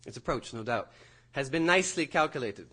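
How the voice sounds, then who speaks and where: An actor playing an intense, nervous young university student, lecturing formally and precisely in a theatrical audio drama. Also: male voice, mid-range voice, expressive, a little fast, pushed and bright, husky. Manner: precise, formal, faltering under nerves.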